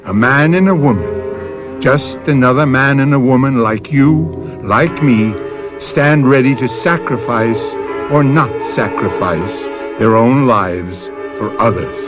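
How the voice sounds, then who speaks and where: A man hosting a radio mystery drama, narrating in a theatrical, measured, ominous old-time style. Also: medium pitch. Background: dramatic music.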